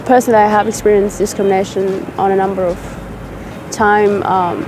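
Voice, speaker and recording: A woman's voice: medium pitch, level tone, fairly quick, noisy background. A young woman, speaking thoughtfully in a documentary interview outdoors in a park. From South Sudan. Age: young adult.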